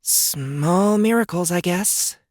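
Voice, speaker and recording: A woman's voice: on the low side, takes it slowly, darkish tone, dry recording, studio quality. An actress playing an irritable, sarcastic young woman druid who curses and grumbles casually in a fantasy audiobook. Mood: wry grumbling. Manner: exasperated, casual muttering and cursing. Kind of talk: fantasy audiobook character acting.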